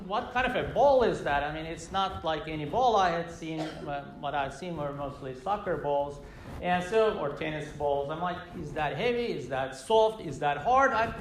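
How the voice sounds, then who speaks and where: A middle-aged man from Turkey with a Turkish accent, telling a personal story on stage, halting with many fillers and restarts. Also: high-pitched, roomy sound, a little hiss or hum. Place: stage in a hall with a live audience.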